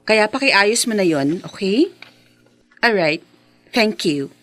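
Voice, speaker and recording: A woman's voice: mid-range voice, expressive, slow, a bit bright. Wealthy, vengeful woman in radio drama. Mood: breezy, businesslike. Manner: mixes English phrases into Tagalog. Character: wealthy, vengeful, self-assured young woman back home. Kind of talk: Philippine radio drama acting.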